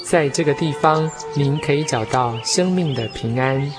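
An announcer delivers a scripted radio message. Loud music runs behind the voice throughout. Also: mid-range voice, moderate pace.

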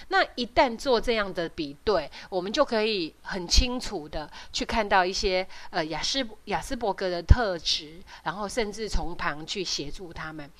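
A woman with a Taiwanese Mandarin accent hosting a podcast, explaining and retelling in an informal, halting way with frequent tag particles. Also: medium pitch, a bit bright. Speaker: podcast host.

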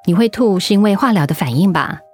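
An actress playing a caring, gently earnest cancer survivor advising a colleague in a radio drama. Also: female voice, mid-range voice, animated, fast, slightly rough, faint music behind. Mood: gentle, encouraging. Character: caring older female colleague who survived breast cancer.

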